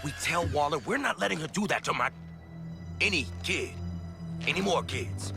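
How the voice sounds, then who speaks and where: A man's voice: on the high side, animated, moderate pace, gravelly, over music. An actor playing a blunt, protective father and mercenary, exasperated with his daughter.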